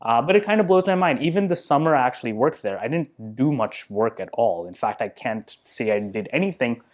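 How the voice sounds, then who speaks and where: A man's voice: medium pitch, animated, a little fast. Podcast guest, male, thirties, easygoing, humble, thinks aloud. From India. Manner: thoughtful, self-deprecating, filler-heavy. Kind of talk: casual interview conversation.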